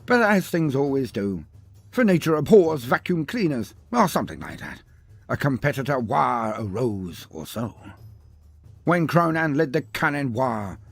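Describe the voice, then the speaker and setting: A man's voice: mid-range voice, sing-song, unhurried, gravelly, breathy edge, soft background music. A man narrating a humorous scripted story in a theatrical, wordy, mock-grandiose manner. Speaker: narrator of a lore story video.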